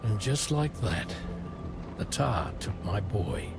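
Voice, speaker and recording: A grieving father, gravelly. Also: on the low side, sing-song, heavily acted. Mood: somber. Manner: slow, grave and deliberate. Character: grieving middle-aged father, slow and measured. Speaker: father who lost his son. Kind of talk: video game cutscene acting. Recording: game audio over loud music.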